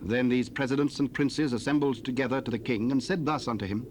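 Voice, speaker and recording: Formal male narrator, mid-pitched, hissy cassette sound. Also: a little fast. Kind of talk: scripted scripture narration.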